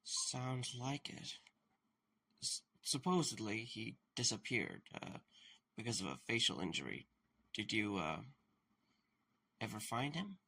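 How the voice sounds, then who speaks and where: A man playing a wary, blunt questioner in an audio drama, with a gravelly, husky voice and a probing, sardonic manner. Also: lowish voice, expressive, unhurried, pauses often, sharp tone, full character voice. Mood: cautious, probing.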